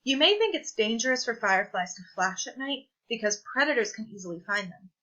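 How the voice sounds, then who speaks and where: A woman reading a children's science picture book aloud, with clear, deliberate, teacherly phrasing. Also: a little high, big pitch swings, bright, forceful tone, highs cut off. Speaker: read-aloud presenter.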